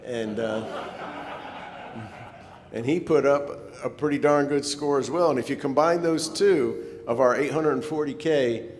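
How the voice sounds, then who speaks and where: A man's voice: measured pace, faint music behind. Man presenting in an echoey hall. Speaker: club presenter. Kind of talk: informal club presentation. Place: meeting hall. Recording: echoey hall, rumbling background noise.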